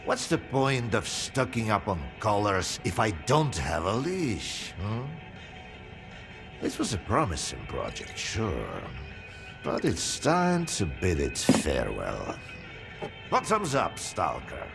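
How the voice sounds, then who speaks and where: An eccentric, boozy old-hand scientist for a video game. His delivery is slow and drawling, with pitch that swings dramatically as he rambles in sardonic philosophy. Music plays clearly behind him. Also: huge pitch swings, heavily acted. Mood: sardonic, rambling. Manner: drawling, rambling, musing, with frequent hums. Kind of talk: theatrical video game dialogue.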